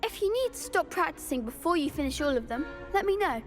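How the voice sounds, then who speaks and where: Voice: high voice, very animated, fast, over music. A performer playing an eager, encouraging young schoolboy who runs a duelling club in a video game. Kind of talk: scripted video game dialogue.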